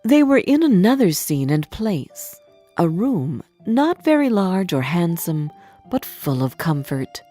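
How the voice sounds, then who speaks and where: A woman narrating a storybook for English learners in a deliberate, expressive manner. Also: lowish voice, soft background music.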